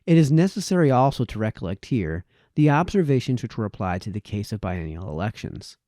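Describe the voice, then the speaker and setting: A man reading formal historical prose aloud in a measured way, letting his phrases fall away at the ends of sentences. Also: medium pitch, warm tone, dry recording, studio quality. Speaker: reader of historical political essays.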